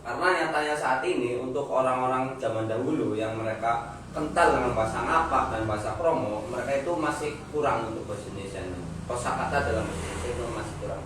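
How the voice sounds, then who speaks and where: A young man speaks Indonesian with a Banyumasan Javanese lilt, in an earnest, measured way. He sounds set back from the mic in a very echoey room. Steady background noise runs underneath. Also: medium pitch, recorded from a distance. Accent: Banyumasan Javanese (ngapak). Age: young adult. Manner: earnest, measured, stating firm views. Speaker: discussion participant. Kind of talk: casual group discussion.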